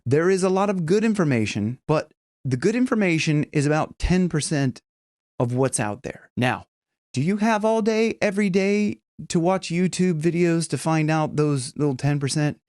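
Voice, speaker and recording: A man's voice: fairly high pitch, lively intonation, moderate pace, a little mellow, dry recording, studio quality. A man teaching singing in a casual, chatty video lesson, talking emphatically and breaking off into short vocal demos.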